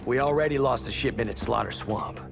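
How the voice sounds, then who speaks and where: A man's voice: medium pitch, a little fast, gravelly, over loud music, natural voice. A man playing a surly, distrustful criminal in a video game, grumbling and challenging. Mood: skeptical. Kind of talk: video game villain acting.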